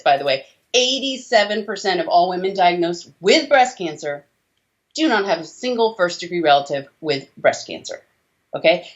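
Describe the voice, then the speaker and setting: A middle-aged woman explaining things as an interviewed doctor, emphatic and fond of rhetorical questions. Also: on the low side. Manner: emphatic, rhetorical questions with tag checks like "okay?" and "right?". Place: small indoor room. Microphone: video call, computer at desk distance. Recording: dull lo-fi video call audio.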